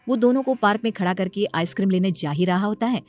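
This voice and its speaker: A woman's voice: mid-range voice, fast talker, a little mellow, a little hiss or hum. A woman reading scripted narration as the storyteller of a moral tale.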